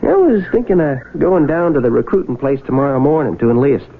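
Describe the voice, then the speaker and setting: An actor in a 1970s radio drama playing a folksy, excitable young American country fellow with rustic, exclamatory talk. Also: male voice, medium pitch, brisk. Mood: earnest. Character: excitable folksy country youth, fishing with his friend. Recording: phone-like lo-fi old radio, loud hiss.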